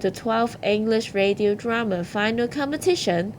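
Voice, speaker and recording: A woman's voice: a little high, normal speed, slight background noise, rough recording. A woman hosting an awards ceremony, reading scripted announcements.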